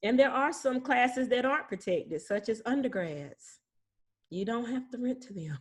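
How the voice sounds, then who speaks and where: A woman's voice: on the high side, animated, moderate pace, slightly rough. A woman speaking as a property manager, explaining things informally and illustrating points with anecdotes and imagined exchanges.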